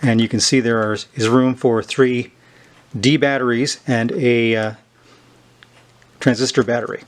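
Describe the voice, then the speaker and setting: A man giving an unscripted, halting demonstration of equipment, thinking aloud as he handles it. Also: medium pitch, fairly even intonation. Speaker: host demonstrating a vintage tape recorder. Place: indoors at a tabletop.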